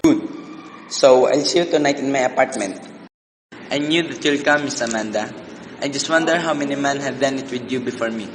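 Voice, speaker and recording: A man's voice: medium pitch, a little fast, lightly acted. A man acting a menacing, coercive school official in a radio drama, coldly insistent. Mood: cold menace. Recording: noisy, reverberant amateur drama recording.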